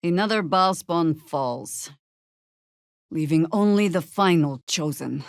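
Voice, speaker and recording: World-weary older druid warrior, lowish pitch, unhurried, dry sardonic wit, commanding, close dry game audio. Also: female voice, gravelly, clear, resonant tone, no echo, full character voice. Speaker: voice actor in a video game. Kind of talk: scripted video game character lines.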